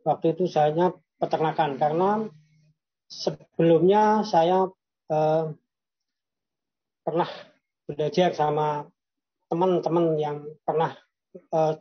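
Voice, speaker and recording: A man from Yogyakarta telling his own business story in a halting way, with many fillers and restarts. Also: fairly high pitch, muffled recording. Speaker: guest entrepreneur. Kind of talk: personal storytelling.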